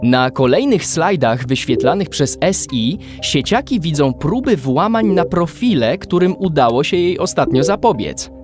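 A man's voice: on the high side, big pitch swings, moderate pace, few pauses, over music. A man narrating a children's radio play in scripted storytelling style.

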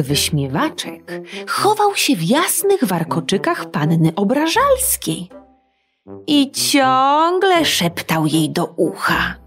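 Brief: woman narrating a kids' fairy tale, leisurely and very melodic, soft music under the voice. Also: mid-range voice. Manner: expressive, sing-song storytelling. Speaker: storyteller. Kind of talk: children's audiobook narration.

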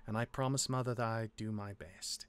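A man playing a gruff, jaded demigod older brother in a Minecraft roleplay, reluctantly caring, with a halting, exasperated delivery. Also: fairly low pitch, steady tone, lightly acted. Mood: instructive, reluctant.

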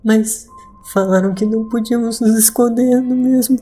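A fragile young boy, acted by a woman, scared and halting. The voice is mellow and fairly high, in an audio drama with faint music underneath. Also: fairly even intonation, close to an everyday voice. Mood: frightened, pleading. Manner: halting, timid. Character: frightened, fragile young boy clinging to his sister.

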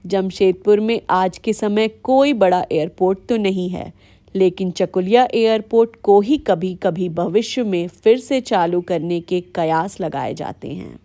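A woman with an Indian accent reading scripted documentary-style narration for a vlog in a measured, explanatory way. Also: mid-range voice, mellow. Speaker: vlogger narrating.

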